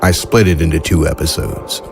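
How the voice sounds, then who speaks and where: A man's voice: a bass voice, normal speed, creaky, faint music behind. A man hosting a fiction podcast, giving a scripted introduction to the show. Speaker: podcast host.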